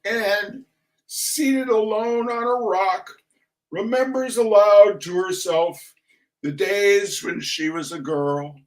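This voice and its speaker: A man giving a theatrical reading of a humorous text in a mock-solemn, deliberate manner with dramatic pauses. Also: high-pitched, low-quality recording. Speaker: reader of a comic essay.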